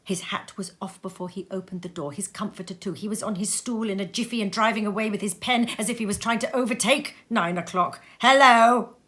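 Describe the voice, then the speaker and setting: A woman narrating a classic tale as an expressive storybook reading at home, lively and theatrical, with many changes of voice. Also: mid-range voice, fairly quick, few pauses. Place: cozy sitting room at home.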